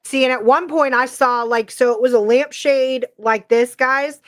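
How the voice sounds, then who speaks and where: A middle-aged woman hosts a casual podcast conversation. Her voice sits a little high, and she talks in an animated, rambling way full of "like.". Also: normal speed, dry recording, studio quality. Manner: chatty and animated, many "like" fillers. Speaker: podcast host. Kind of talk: casual paranormal chat.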